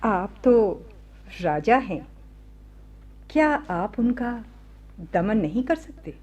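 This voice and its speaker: A woman playing a devout, pleading young captive princess in a radio drama, speaking softly in formal, plaintive phrases on a muffled recording. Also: mid-range voice, sing-song, slow pace, full character voice. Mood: dismayed. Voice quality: soft, breathy, mellow. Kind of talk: slow, theatrical Hindi radio drama acting.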